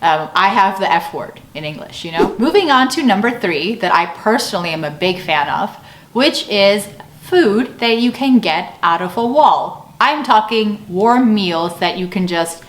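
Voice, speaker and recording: A woman's voice: mid-range voice, expressive, measured pace. A woman with an American accent chatting expressively in a casual explanatory vlog. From United States. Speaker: video creator. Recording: roomy echo, faint background noise.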